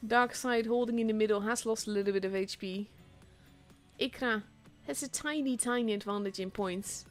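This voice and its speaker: A young Dutch woman, a native Dutch speaker, casting a tank game solo in a chatty, self-deprecating way that grows excited at big plays. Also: medium pitch, moderate pace, faint music behind. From Netherlands. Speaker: esports caster.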